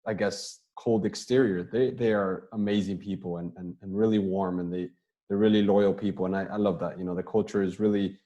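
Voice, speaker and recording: A young man, a soccer player, answering interview questions over a video call in a relaxed, rambling way, often repeating words as he talks. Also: low-pitched, brisk, dull audio. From United States.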